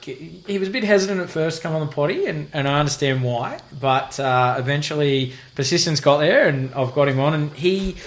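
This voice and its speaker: A man hosting a podcast interview in a guest's house, talking in a halting, matey way and often saying "mate". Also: a little high. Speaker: podcast host. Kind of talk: casual interview.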